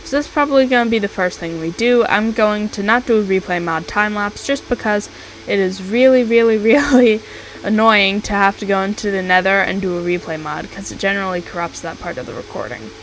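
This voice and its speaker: A woman hosting her gaming channel, talking casually over a time lapse with a slightly scratchy voice and a relaxed, rambling manner full of ums. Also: medium pitch, fairly quick.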